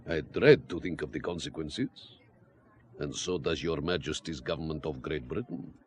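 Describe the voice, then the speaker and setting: An actor playing a courtly, philosophical Russian intelligence officer in an audio drama, with a deliberate, florid manner. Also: male voice, very deep voice, a little mellow, slight background noise. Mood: grave, confiding. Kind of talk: spy audio drama acting.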